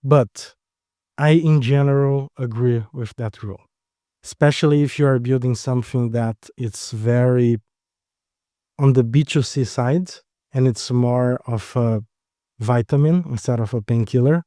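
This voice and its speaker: A man's voice: medium pitch, unhurried, dark tone, dry recording, studio quality. A man from Brazil with a Brazilian accent, a startup co-founder answering interview questions in short, explanatory phrases.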